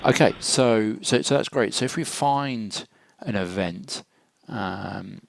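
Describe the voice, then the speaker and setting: A man narrates an informal software walkthrough in a hesitant, matter-of-fact way, with a low, gravelly voice into a close microphone. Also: slow, a little hiss or hum. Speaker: software tutorial presenter. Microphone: close computer microphone, screen-recording voice-over.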